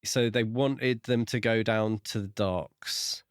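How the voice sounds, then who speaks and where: A man's voice: fairly low pitch, fairly even intonation, slow, smooth, no echo, studio quality. A man co-hosting a book podcast, discussing his views in a casual, conversational way. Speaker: podcast co-host reviewing books.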